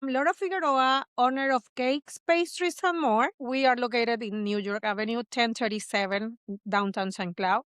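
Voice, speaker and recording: A woman's voice: on the high side, steady tone, normal speed, few pauses, fairly bright tone, clean tone, no echo, studio quality. A middle-aged bakery owner from Puerto Rico, a woman who speaks English as a second language with a Puerto Rican Spanish accent. She answers interview questions earnestly, often repeating words and restarting.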